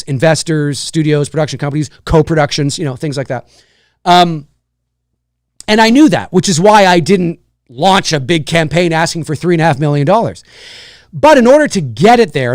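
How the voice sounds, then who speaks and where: A hoarse man with a fairly high voice hosts a live stream in a casual chat. He talks excitedly, shouts out supporters loudly and trips over his words as he restarts. His voice sounds close and dry, with no room around it. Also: dry recording. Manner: excitable, loud shout-outs, many restarts and fillers. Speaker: livestream host.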